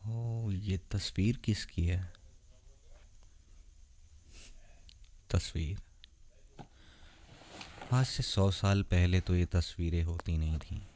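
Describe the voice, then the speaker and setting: Casting: male actor as ancient vampire lover for ASMR roleplay. Deep, dark-toned, soft-spoken, tender and lonely. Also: steady tone, slight background noise. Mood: wistful, gentle. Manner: soft, intimate, gentle, unhurried. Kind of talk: romantic ASMR roleplay, addressed to the listener.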